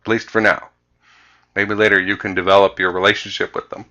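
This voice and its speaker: A man with a Canadian accent presenting a sales lesson as a coach, speaking emphatically and deliberately and repeating key phrases. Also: sits low, level tone, sharp tone. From Vancouver, Canada. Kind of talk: instructional slide presentation.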